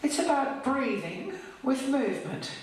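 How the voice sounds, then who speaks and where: Echoey, slow older woman reciting poetry. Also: high-pitched, animated. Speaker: poet reading her own poem. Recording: distant, very echoey hall with background noise.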